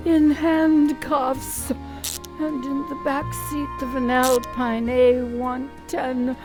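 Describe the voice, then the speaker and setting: Slow, dramatic, high-pitched woman narrator over music. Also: flat delivery. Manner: theatrical, savoring descriptive words. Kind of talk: dramatic scripted storytelling for radio.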